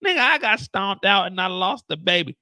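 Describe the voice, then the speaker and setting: A man voicing an angry young woman bent on revenge, talking high and blunt. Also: animated, fairly quick, sharp tone, no echo, studio quality, heavily acted. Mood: defiant.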